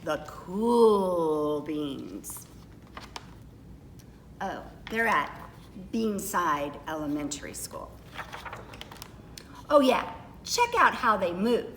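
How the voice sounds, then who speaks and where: A woman reads a storybook aloud for children in a roomy, echoey space with some low noise behind her. Her delivery is lively and unhurried, with dramatic pauses and stretched-out key words. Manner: expressive, dramatic pauses and drawn-out emphasis. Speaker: teacher reading a picture book aloud.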